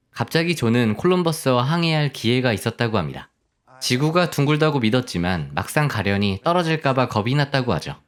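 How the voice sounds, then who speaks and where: A male audiobook narrator reads a scripted story. His pitch sits in the middle of the male range and moves in a lively way. He reports what each person says in plain narration, without putting on character voices. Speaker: audiobook narrator of film summaries. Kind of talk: scripted storytelling narration in soft polite sentences.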